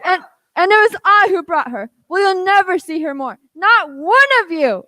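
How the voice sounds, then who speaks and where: A woman's voice: very high-pitched, animated, normal speed, bright, forceful tone, a faint crowd, a little hiss or hum, exaggerated voice. A woman playing a bold, earnest young boy in a radio play, declaiming earnestly. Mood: boastful.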